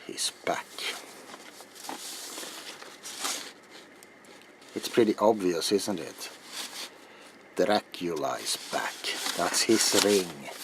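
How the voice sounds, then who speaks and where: Guy doing a grim old vampire hunter, really deep voice, whispery dramatic asmr roleplay, bit of background noise. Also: lively intonation, heavily acted. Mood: grave, alarmed. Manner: deliberate, dramatic, dropping into breathy whispers. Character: grave older male vampire hunter, weary, ominous, obsessed. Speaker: character performer in roleplay. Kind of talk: slow theatrical ASMR roleplay monologue.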